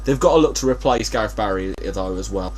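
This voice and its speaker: A man's voice: on the low side, fast, a loud crowd. A man hosting a casual football podcast, talking in a hesitant, jokey way as he thinks aloud. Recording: hum and steady background noise.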